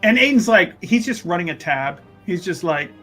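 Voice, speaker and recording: A mid-pitched player chats and improvises at a tabletop game. The delivery is emphatic and repetitive, with faint music in the background. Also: lively intonation, normal speed, fairly bright tone. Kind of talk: lively improvised roleplay and casual chat.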